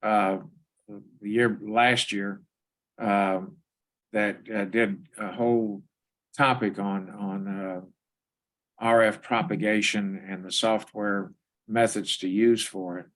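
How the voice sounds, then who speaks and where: A man's voice: lowish voice, level tone, slow, long pauses, fairly bright tone. A man in an informal meeting discussion, speaking hesitantly with many fillers and restarts. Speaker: teleconference participant.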